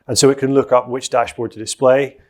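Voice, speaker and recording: A middle-aged man on a conference stage, explaining a live software demo in a steady, explanatory way with frequent fillers. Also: mid-range voice, fairly quick. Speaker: presenter giving a software demo.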